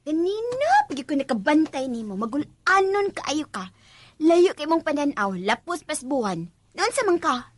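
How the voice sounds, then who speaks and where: Gossipy housemaid, Visayan Filipino accent, high voice, very lively intonation, inquisitive radio drama delivery. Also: female voice, moderate pace, no room sound. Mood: teasing, prying. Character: nosy, gossipy female fellow housemaid.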